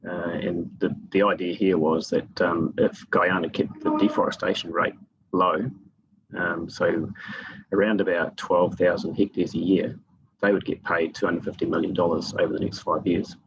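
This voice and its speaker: A man from New Zealand with a gravelly, husky voice, telling his story conversationally as an interview guest. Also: deep voice, flat delivery, moderate pace, dull audio, slight background noise. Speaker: guest expert in forest monitoring.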